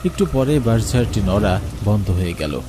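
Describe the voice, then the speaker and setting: A man's voice: on the low side, big pitch swings, normal speed, noisy background. A man narrating a scripted horror story for a cartoon.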